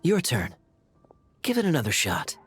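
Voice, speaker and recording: A man's voice: mid-range voice, wildly swooping pitch, normal speed, music in the background. A man playing a suave, sly young gambler in a video game, with a playful, wry way of talking. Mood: wry, teasing.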